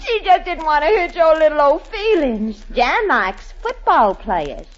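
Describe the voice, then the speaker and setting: Syrupy, very high-voiced Southern belle. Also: female voice, sing-song, measured pace. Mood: mocking, amused. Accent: American South. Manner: coy, gushing, honeyed drawl. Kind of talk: old-time radio comedy acting. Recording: dull vintage radio recording, audience, noisy.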